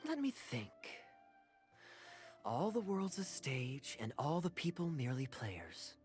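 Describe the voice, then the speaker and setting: An actor playing a suave young male adventurer in a video game, with a smooth, teasing, theatrical delivery. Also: fairly high pitch, sing-song, slow, soft background music. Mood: wry, musing.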